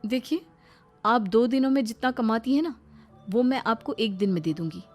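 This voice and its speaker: A woman's voice: fairly high pitch, normal speed, darkish tone, lightly acted. A woman voicing a demanding, insistent female boutique customer in a story. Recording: faint background music.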